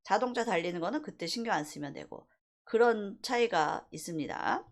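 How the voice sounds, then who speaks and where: A woman instructor giving a casual, explanatory screen-recorded software tutorial, slipping between polite and plain speech. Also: mid-range voice, lively intonation, moderate pace. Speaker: animation instructor.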